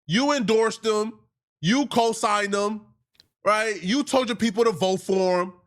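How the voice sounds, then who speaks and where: A man's voice: high voice, a little slow. A man giving political commentary in an emphatic, mocking, slangy way.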